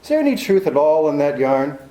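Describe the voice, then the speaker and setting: A man's voice: a little high, very animated, brisk, warm tone. A man playing a cynical, tough private detective in a 1940s-style radio play, speaking with a dry, sardonic bite. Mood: grilling. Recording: echoey room.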